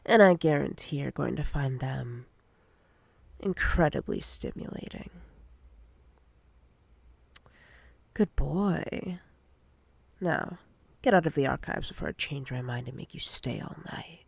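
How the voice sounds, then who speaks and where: A woman playing a dominant, possessive female boss in roleplay ASMR, commanding and teasing in a breathy, often whispered voice. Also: sits low, lively intonation, a little mellow, no room sound, full character voice. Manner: slow, deliberate, commanding.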